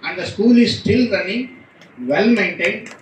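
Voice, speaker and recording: A man's voice: high voice, a little slow. A middle-aged man with an Indian accent giving a commemorative speech at a podium as a guest speaker. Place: auditorium, indoors. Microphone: podium microphone, recorded from far camera. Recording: echoey hall from far off, noisy.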